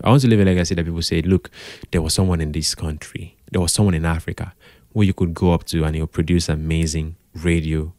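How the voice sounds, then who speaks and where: A Nigerian man with a deep voice gives relaxed, conversational interview answers. He is expressive, drops into Pidgin and repeats phrases for emphasis. The sound is dry, with little room around it. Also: vocal fry, no room sound. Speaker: podcast guest, radio drama producer. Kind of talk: casual interview chat.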